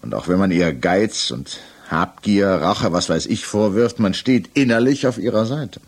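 A low, husky voice of a stern magistrate, formal and insistent. He presses each question like an accusation, in the style of sixties German radio drama. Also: animated, unhurried, full character voice. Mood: insistent, accusing. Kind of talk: 1960s German radio play acting.

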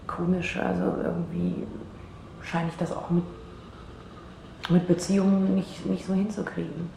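A woman's voice: on the low side, level tone, unhurried, vocal fry, mellow. An actress playing a rattled, self-doubting therapy client in her twenties, rambling hesitantly in a naturalistic radio drama. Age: 20s. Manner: hesitant, rambling, filler-laden. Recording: roomy echo, faint background noise.

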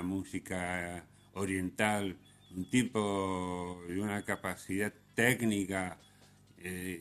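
A man hosting a radio music show, giving unscripted commentary in a halting way, often hesitating and correcting himself. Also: deep voice.

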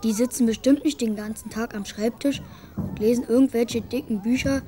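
A woman's voice: a little high, fairly even intonation, moderate pace, mellow, close to an everyday voice. A woman playing a sulky, exasperated young boy's inner voice in a German children's radio drama. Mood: grumbling.

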